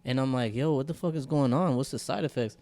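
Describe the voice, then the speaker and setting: A man's voice: medium pitch, fast, warm tone. A young man co-hosting a podcast, telling stories in loose, profane slang. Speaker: podcast co-host. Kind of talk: casual chat.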